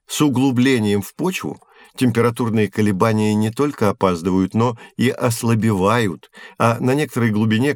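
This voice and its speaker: An actor playing a patient, knowledgeable science teacher who explains in a measured, articulate way in an audio play. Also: male voice, medium pitch, no room sound, close to an everyday voice. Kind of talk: scripted educational audio play.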